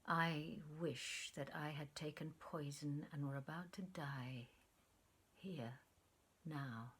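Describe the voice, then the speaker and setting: A woman reading a storybook part as an earnest, sentimental man who speaks gravely, wistfully and haltingly to a former sweetheart. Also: fairly high pitch, fairly even intonation, a bit bright, voice pushed down, exaggerated voice. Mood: low, troubled.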